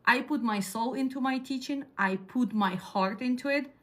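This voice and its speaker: A middle-aged woman with a Ukrainian accent teaches a class in a direct, no-nonsense way. Her voice sits a little high and sounds bright and sharp. She keeps putting questions to her students as she lectures. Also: normal speed. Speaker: dispatch trainer.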